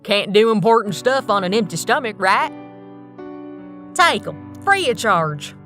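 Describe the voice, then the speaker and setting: A woman's voice: medium pitch, big pitch swings, measured pace, a bit bright, faint music behind. Woman doing a folksy Southern farm pony mare. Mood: encouraging. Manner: folksy, easygoing, reassuring. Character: plainspoken, kindly country farm pony mare.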